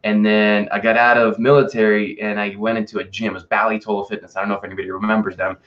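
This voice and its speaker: Deep-voiced man from Russia, dull lo-fi audio. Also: level tone, fast, a bit bright. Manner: informal, emphatic, with rhetorical check-ins and buddy slang. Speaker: podcast guest, fitness and life coach. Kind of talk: casual, personal interview storytelling.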